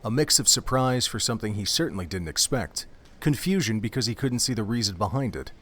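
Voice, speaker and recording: A man narrating an audio drama in a steady, descriptive storytelling manner. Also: fairly low pitch, brisk, slight background noise.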